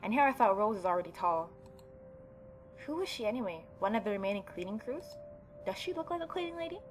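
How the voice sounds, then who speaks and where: A woman's voice: medium pitch, lively intonation, quick pace, faint music behind. A young adult woman doing a casual let's play, reading game text aloud and commenting informally. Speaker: gaming YouTuber.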